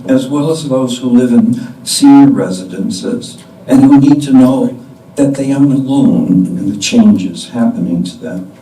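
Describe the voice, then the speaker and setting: An older man giving a deliberate scripted introduction to a play from a stage, with pauses. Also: medium pitch, mellow. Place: indoor stage before an audience. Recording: distant mic in echoey room, background noise.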